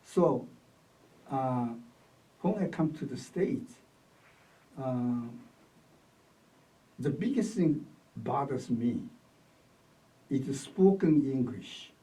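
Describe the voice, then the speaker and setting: An older man from Taiwan with a Taiwanese accent, recalling his life in an oral history interview in halting short phrases. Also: a little high, warm tone. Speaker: interviewee, retired academic. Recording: distant microphone.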